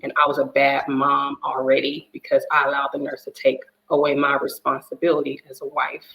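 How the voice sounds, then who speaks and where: A woman's voice: a bass voice, monotone, fairly quick, a bit bright, roomy sound, rough recording. A woman telling her personal story as a divorce coach guest, earnest and emotional. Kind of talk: personal testimony in a talk show.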